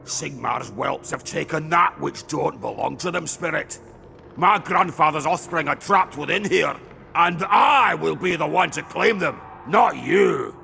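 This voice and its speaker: Rotting plague zealot, game villain, croaky snarly voice a bit high, slow n defiant, faint music under it. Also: male voice, animated, bright, forceful tone, full character voice. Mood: defiant, possessive. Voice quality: gravelly, croaking. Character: rotting plague warrior, defiant devotee of a disease god. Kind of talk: theatrical video game villain acting.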